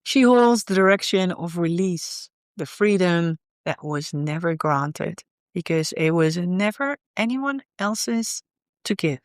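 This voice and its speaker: A woman leading a guided meditation in a soothing, unhurried way, often pausing in the middle of phrases. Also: on the low side, lively intonation, no room sound, clean studio sound.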